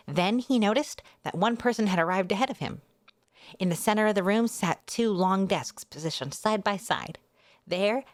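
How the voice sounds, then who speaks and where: A woman's voice: on the low side, no room sound, clean studio sound. A woman hosting a casual readalong, narrating a novel expressively with chatty asides. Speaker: VTuber host reading a light novel aloud.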